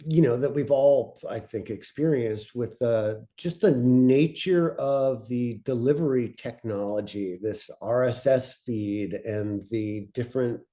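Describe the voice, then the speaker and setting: A man speaking informally as a panelist, thoughtful and halting, often breaking off to rephrase his point. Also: medium pitch, dark tone. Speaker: panelist presenting on podcast pitching.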